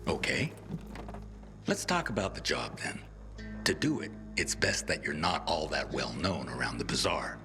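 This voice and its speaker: An actor playing a sly, menacing gang thug in a video game, insinuating and taunting. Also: male voice, rumbling low, huge pitch swings, normal speed, creaky, music in the background, heavily acted.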